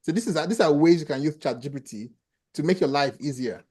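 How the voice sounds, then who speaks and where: A man's voice: a little high, brisk, a little mellow, husky. A man with a Nigerian accent, a professor explaining things plainly and answering questions in an online class over a video call. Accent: Nigerian, with a slight Ghanaian tinge. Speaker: professor teaching a webinar class.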